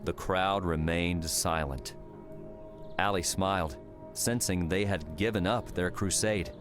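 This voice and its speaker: A man's voice: deep voice, takes it slowly. A man narrating an audiobook story in a dramatic, scripted storytelling style. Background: music.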